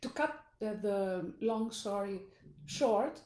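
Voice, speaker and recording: A woman's voice: medium pitch, lively intonation, a little mellow, roomy sound. A woman with a Greek accent, speaking English as a second language, gives an earnest motivational talk as a life coach. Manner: earnest and deliberate, with small restarts. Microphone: phone or camera in a live stream.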